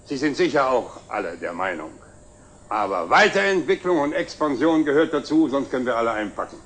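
An actor playing a jovial, self-made older company director, giving a proud, deliberate speech in a 1960s German TV drama. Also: male voice, medium pitch, big pitch swings, fairly bright tone. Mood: proud, solemn. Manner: expansive, deliberate speechmaking with wry chuckles. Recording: slightly noisy old 1960s TV soundtrack.

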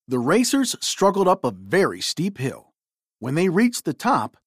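Very animated woman reading a children's story. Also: sits low, slightly rough, no room sound, studio quality. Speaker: storybook reader. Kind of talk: expressive scripted children's story narration.

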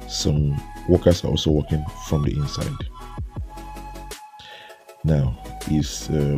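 Nigerian contractor, male, very low and dark voice, easygoing walkthrough narration over faint music. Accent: Nigerian English. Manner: relaxed, filler-heavy, pointing things out. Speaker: renovation contractor. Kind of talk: walkthrough narration of a building site.

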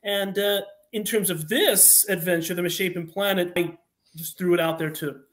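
A man's voice: sits high, fairly even intonation, moderate pace, a bit bright. A man talking as a writer guest on a casual podcast, discursive, rephrasing and elaborating as he goes. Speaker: guest, fan audio drama writer. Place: remote online video call.

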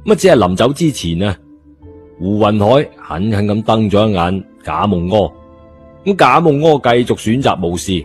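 A Cantonese man narrates an audiobook in a fairly low voice. His delivery is colloquial storytelling. Background music runs beneath him. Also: big pitch swings, moderate pace, slightly rough.

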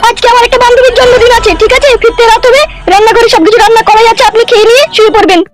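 A woman's voice: very high-pitched, fairly even intonation, rapid-fire, over music. A woman voicing a haughty, defiant young daughter-in-law in a comedy cartoon, snappish and rude. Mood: brisk. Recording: loud noise behind.

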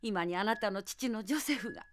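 A woman's voice: medium pitch, a little fast, a little hiss or hum, close to an everyday voice. An actress playing an elderly mother, earnest and tender as she reassures her daughter in an audio drama. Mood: tender reassurance. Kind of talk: Japanese audio drama acting.

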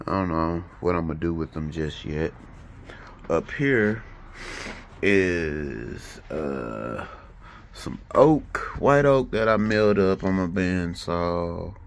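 Slow, deep-voiced man. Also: a little hiss or hum. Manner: relaxed, rambling, informal Southern grammar. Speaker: woodworker giving a shop tour. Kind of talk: casual walkthrough vlog. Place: carport workshop, partly outdoors. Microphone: handheld phone filming as he walks.

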